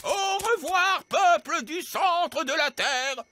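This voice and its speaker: An actress voicing a brisk, breezily reckless magical nanny in a children's cartoon, declamatory, with music underneath. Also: female voice, very high voice, fairly bright tone, a little hiss or hum, heavily acted. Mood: brisk, commanding. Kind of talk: animated children's cartoon dub.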